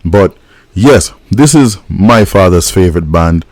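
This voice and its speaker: A man's voice: deep voice, lively intonation, a little slow, a little mellow, dry recording. A middle-aged man from Jamaica, hosting a music reaction and telling stories in a laid-back, reflective way. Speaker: host of a music reaction channel. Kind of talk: casual reaction and storytelling.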